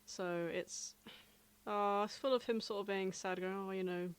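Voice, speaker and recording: Female co-host, medium pitch, casual amused banter, loose phrasing. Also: steady tone, a little slow, clear, resonant tone. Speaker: podcast co-host. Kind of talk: casual chat.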